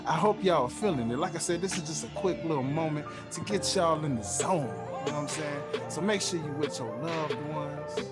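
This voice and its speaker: A man hosting a casual podcast, talking in a smooth, laid-back radio-style way and often adding "you know what I'm saying.". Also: a little high, normal speed, few pauses. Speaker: podcast host. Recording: music playing underneath.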